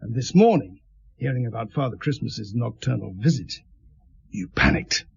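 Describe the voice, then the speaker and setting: An actor playing a stern, shrewd police inspector who questions methodically and sardonically in a radio drama. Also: male voice, mid-range voice, animated, normal speed, vocal fry, husky, lo-fi sound, full character voice. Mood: methodical, accusing. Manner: pressing, methodical, sardonic interrogator.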